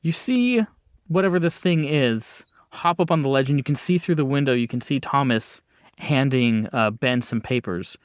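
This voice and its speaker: A man narrates an improvised horror scene as game master. His medium-pitched voice is conversational, moving in pauses and bursts, with a very dry sound. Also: clear, resonant tone, dry recording.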